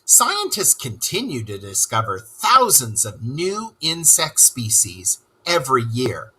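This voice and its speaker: Animated, breathy man reading to kids. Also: mid-range voice, takes it slowly, rough recording. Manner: playful, animated storytelling to young listeners. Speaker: teacher. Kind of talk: read-aloud lesson for children.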